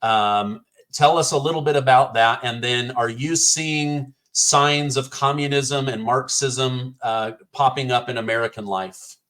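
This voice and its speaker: A man's voice: medium pitch, steady tone, measured pace, fairly bright tone. A man hosting an interview, asking questions in a casual way with frequent fillers. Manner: casual, uses "like" and "um" while asking. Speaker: podcast host. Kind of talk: conversational interview.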